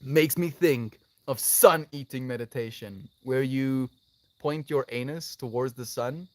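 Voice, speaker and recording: A man's voice: mid-range voice, a little slow, no echo. A man hosting a livestream, chatting casually and effusively with his viewers and addressing them affectionately as friends. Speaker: live streamer, host. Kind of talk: casual livestream chat, responding to viewer comments.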